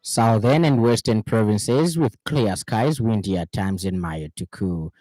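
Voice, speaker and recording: Low-pitched Zambian newsreader, formal man. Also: dark tone, dry recording, soft background music. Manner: formal, measured delivery. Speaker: radio news presenter. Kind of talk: scripted news bulletin reading. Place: radio studio.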